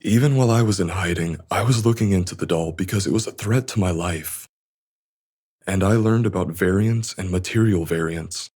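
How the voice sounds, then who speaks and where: A man voicing a remorseful, fearful loner in a visual novel dub, speaking in a measured, earnest way as he explains himself. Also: low voice, a little mellow, husky. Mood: resigned, explaining. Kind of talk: visual novel English fan dub, dramatic dialogue. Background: music.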